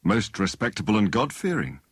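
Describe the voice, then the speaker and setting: A genial young gentleman detective from a storybook, played by a male narrator. His voice is fairly low and breezily conversational, with lively intonation. It is recorded dry and close. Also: brisk, slightly rough, dry recording. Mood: helpful.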